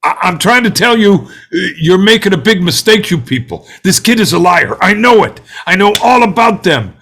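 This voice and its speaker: An actor playing an angry, bigoted juror in a play read-through, ranting in a colloquial, hectoring way and growing desperate. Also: male voice, high voice, sing-song, moderate pace, fairly bright tone, exaggerated voice. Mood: agitated, insistent. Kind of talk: dramatic table read of a stage play.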